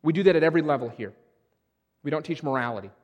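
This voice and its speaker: Man, middle-aged, pastor, high-pitched voice, emphatic sermon delivery, stumbling restarts, long church reverb. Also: expressive, very fast. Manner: emphatic preaching with frequent restarts. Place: church sanctuary.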